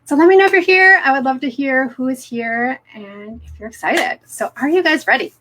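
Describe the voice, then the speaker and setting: A woman's voice: sits high, moderate pace, noisy background. A middle-aged woman presenting live online as a business coach, upbeat and encouraging, speaking directly to her viewers.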